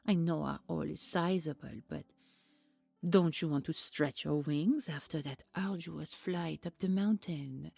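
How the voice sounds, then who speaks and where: A mellow, lowish griffin queen played by a woman. She purrs slowly, regal and teasing, with sugary condescension, in a close, dry fantasy audio roleplay. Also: vocal fry, dry recording, exaggerated voice. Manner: purring, mock-sweet, condescending.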